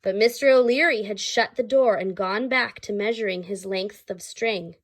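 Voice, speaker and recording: A woman reading a children's story aloud, pausing to explain things simply to her listeners. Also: mid-range voice. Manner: pauses to explain words and ask listeners questions.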